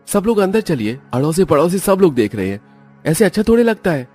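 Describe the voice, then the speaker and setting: A man's voice: high voice, sing-song, brisk, darkish tone, slightly rough, faint music behind, pitched above the performer's own voice, full character voice. A man voicing an irritable grown village son, fussing and chiding his family, in a cartoon story. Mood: annoyed. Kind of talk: Hindi cartoon story dialogue.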